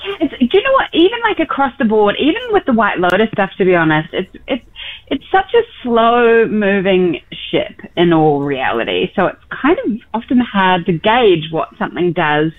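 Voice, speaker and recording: A woman's voice: mid-range voice, very animated, moderate pace. Bubbly woman guest on a phone-like line. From New Zealand. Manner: bubbly, self-mocking, laughs easily. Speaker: actress guest. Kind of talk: casual radio interview chat. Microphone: remote line from a film shoot location.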